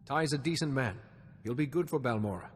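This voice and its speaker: An actor playing a calm, diplomatic male Jedi sage in a video game, speaking in a measured, formal way. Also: medium pitch, big-room sound, a little hiss or hum, close to an everyday voice. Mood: diplomatic, gentle.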